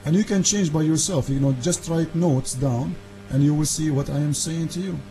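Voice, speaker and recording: A man whose first language is Arabic hosts a call-in debate, insistent and argumentative, speaking broken English and cutting in with quick repeats. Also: fairly high pitch, mellow, soft background music. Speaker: debate show host.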